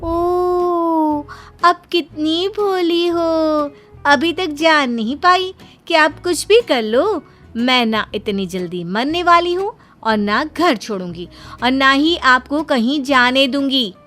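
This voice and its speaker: A woman voicing a sharp-tongued, defiant young daughter-in-law in an animated story, with dramatic, emotional delivery. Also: high-pitched, slow pace, pitched above the performer's own voice, heavily acted. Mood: taunting.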